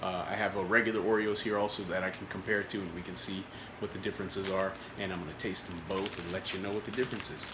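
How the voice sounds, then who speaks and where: A man's voice: low-pitched, little pitch movement, quick pace. A man hosting a casual food review vlog, getting over a cold, rambling with many filler words and restarts. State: recovering from a cold. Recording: echoey room with faint noise.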